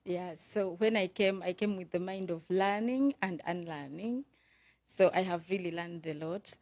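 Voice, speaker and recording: Woman from Uganda, lowish voice, slightly dull audio; eager teacher guest. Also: normal speed, a little mellow. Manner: enthusiastic and earnest. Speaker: visiting English teacher. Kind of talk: radio interview guest.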